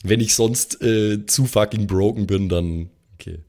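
Male player, slightly low voice, loose slangy game-table chat with repeated phrases. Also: a little slow, a little mellow. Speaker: tabletop role-playing player. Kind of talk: casual chat.

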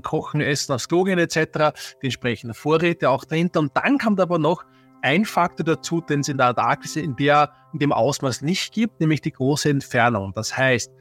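A man's voice: mid-range voice, a bit bright, husky. A man giving an interview answer, thinking aloud with restarts as he explains. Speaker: interviewed expert.

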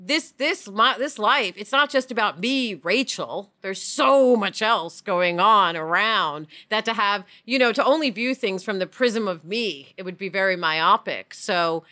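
A woman's voice: mid-range voice, expressive, unhurried, few pauses, bright, forceful tone. A middle-aged woman hosting a podcast, chatting reflectively and emphatically with her co-hosts. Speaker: podcast host. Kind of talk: casual reflective podcast chat.

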